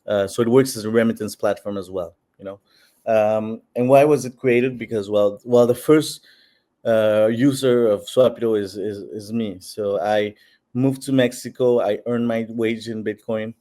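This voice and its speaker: A man's voice: fairly low pitch, fairly even intonation, moderate pace, warm tone. A man from Peru, a company founder answering interview questions in an explanatory way with many fillers and restarts. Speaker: founder of a bitcoin platform.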